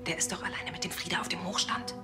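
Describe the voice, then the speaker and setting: A woman's voice: on the low side, fairly even intonation, quick pace, in a whisper, music in the background, lightly acted. An actress playing a worried, assertive young policewoman in a TV drama, urgent and informal.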